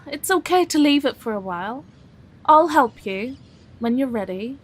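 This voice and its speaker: An actress with a British accent playing a caring, frank middle-aged single daughter in an intimate audio drama. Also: female voice, sits high, animated, moderate pace. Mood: gently teasing.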